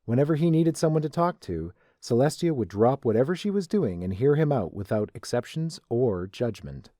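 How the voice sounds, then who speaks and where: Casting: male narrator for scripted fiction, mellow tone, animated intonation. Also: mid-range voice, moderate pace, no echo, clean studio sound.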